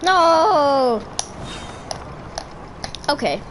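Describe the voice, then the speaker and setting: A woman's voice: sits high, animated, drawn-out words, noisy background. A woman giving casual commentary as she plays a video game.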